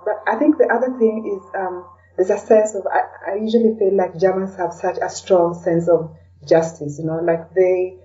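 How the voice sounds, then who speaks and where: A woman's voice: on the low side, steady tone, moderate pace, warm tone. A woman speaking as an interview guest in a chatty, conversational way, often adding "you know". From Kenya. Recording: dull, distant lo-fi microphone.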